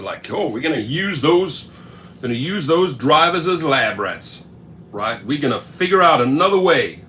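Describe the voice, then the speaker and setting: A man from the United States comments on rideshare news for his channel in a sarcastic, animated way, hammering points by repeating them. His voice is bright and edgy, sitting a little high for a man. There is a faint noise in the background. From USA. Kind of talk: casual commentary on screen text.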